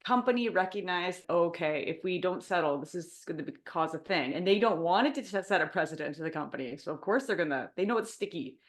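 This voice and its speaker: A woman's voice: lowish voice, animated, fast talker, few pauses. A woman in casual book club chat, reflective and talkative, with frequent "like" fillers. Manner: chatty, reflective, says "like" often. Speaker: book club podcast member.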